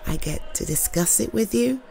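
A British woman playing an affectionate, slightly shy girlfriend in an intimate roleplay, speaking softly and hesitantly to her partner. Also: medium pitch, lively intonation, vocal fry. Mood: tender, earnest. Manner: soft, hesitant, tender, with halting repeats.

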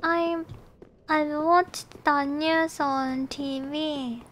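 Female game streamer, Japanese accent, very high voice, slow polite casual chat with viewers. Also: steady tone, fairly bright tone, slight background noise. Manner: polite, thanks and greets viewers often. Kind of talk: casual livestream chat while gaming.

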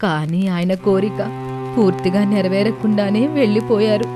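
A woman voicing a grave, kindly schoolmaster's widow in a cartoon story, measured and earnest. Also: medium pitch, over music, close to an everyday voice. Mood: earnest, sorrowful.

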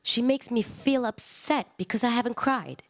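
A woman actor giving an expressive live reading of a literary text, moving between conversational asides and declamation. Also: on the high side, moderate pace.

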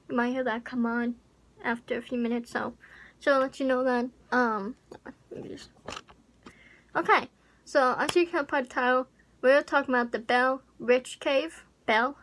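A woman's voice: sits high, a bit bright. A young woman vlogging in a casual chat, rambling and unsure, with lots of fillers, recorded close on a webcam in a small bedroom. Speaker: YouTuber. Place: small pink bedroom with air conditioner. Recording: home recording with audible AC hum.

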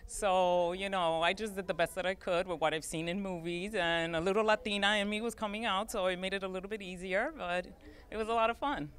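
A woman's voice: mid-range voice, steady tone, normal speed, fairly bright tone. A middle-aged woman, an actress, chatting casually as an interview guest on a handheld microphone. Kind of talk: casual red-carpet interview in English. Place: indoor event backdrop.

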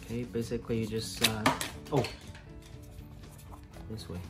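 Halting man talking at an unhurried pace. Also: lowish voice, monotone, over loud music. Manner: halting, searching for words. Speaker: vlogger. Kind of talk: casual unboxing and first-use demonstration. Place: room at home.

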